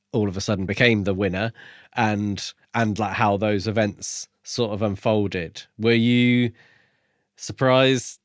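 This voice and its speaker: A man co-hosting a podcast, chatting casually about books in a tentative, halting way as he thinks aloud. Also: lowish voice, no room sound, studio quality. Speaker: podcast co-host. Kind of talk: casual book discussion chat.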